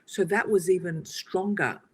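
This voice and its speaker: A woman, a guest on a casual talk show, telling stories in an animated way with frequent laughter. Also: lowish voice, a little slow, husky. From Australia. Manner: animated storytelling, laughs often. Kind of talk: casual conversation on a video podcast.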